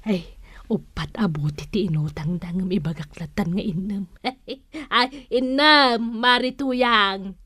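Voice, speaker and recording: A woman with an Ilocano Filipino accent playing an unhurried village storekeeper who is firm about debts, in a drawling radio comedy style. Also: medium pitch, bright, forceful tone, no echo, clean studio sound. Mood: wry, insistent. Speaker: radio drama actress.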